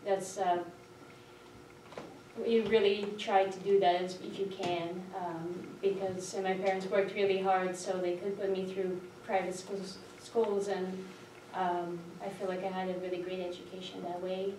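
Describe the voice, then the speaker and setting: A woman from Brazil, a native Portuguese speaker, giving an informal candidate introduction in a hesitant, halting way. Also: fairly low pitch, little pitch movement, mellow. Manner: hesitant, halting with frequent pauses. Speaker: school board candidate. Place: indoor public board meeting, far microphone. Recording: roomy, noisy, distant meeting-room microphone.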